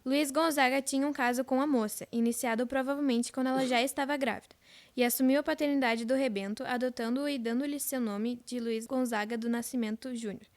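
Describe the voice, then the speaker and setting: A Brazilian schoolgirl presents an old-time radio programme from a script. Her voice is high and young. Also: steady tone, fairly quick. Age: child, about twelve.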